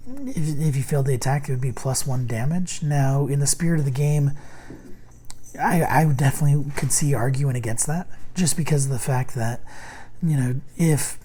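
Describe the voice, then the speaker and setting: Hoarse man rambling through a card review. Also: medium pitch, mellow. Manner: rambling, halting, with many ums and restarts. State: hoarse, losing his voice. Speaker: host reviewing a card game deck. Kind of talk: casual unboxing and card review.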